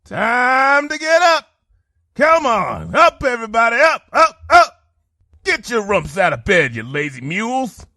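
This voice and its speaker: A man's voice: extremely high pitch, huge pitch swings, unhurried, pushed and bright, dry recording, studio quality, heavily acted. A man playing a hearty, folksy pony cook in an audio drama, blustery and informal with a drawl. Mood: rousing, bossy. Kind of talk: audio drama character acting.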